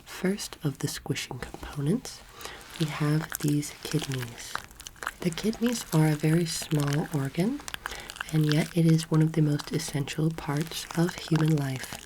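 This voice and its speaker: A young woman giving a soft-spoken, half-whispered ASMR roleplay lecture in a gentle, measured, instructive manner, close to the microphone. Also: sits low, background noise. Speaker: ASMR performer role-playing an alien anatomy teacher. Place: indoor room, seated close to camera. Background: soft clicking, crinkling and squishing sounds.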